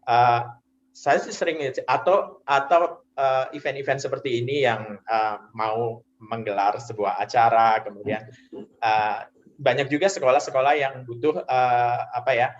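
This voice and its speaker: A man's voice: medium pitch, fairly even intonation, measured pace. Hesitant man, guest voice actor. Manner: hesitant, many fillers. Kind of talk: interview answers, explaining. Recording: dull lo-fi online audio, faint noise.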